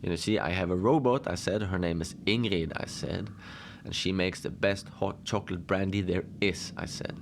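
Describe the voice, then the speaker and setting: A man playing a deadpan lone adventurer who tells his odd tales slowly and conversationally, rambling with halting asides, in a cozy horror audio drama. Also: low voice, creaky, slight background noise. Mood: amiable.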